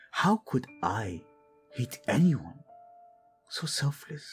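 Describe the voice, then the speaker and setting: A man playing a proud, passionate young king devoted to his wife in a romantic audio drama, speaking earnestly and deliberately. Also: on the low side, a little mellow. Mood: soft, earnest.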